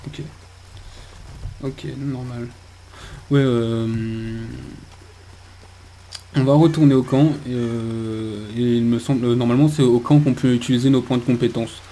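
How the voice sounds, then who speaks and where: A man's voice: mid-range voice, fairly even intonation, measured pace, pauses often, dark tone, poor audio. A man giving casual video game commentary, thinking aloud in a hesitant way. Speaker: video game let's player. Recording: faint background noise.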